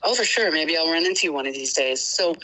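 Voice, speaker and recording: A woman's voice: low-pitched, lively intonation, fast talker, bright, forceful tone, low-quality recording. A woman in her fifties from Boston, calling in and chatting casually with many fillers. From Boston, United States. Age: 50s. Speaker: caller. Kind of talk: casual call-in chat.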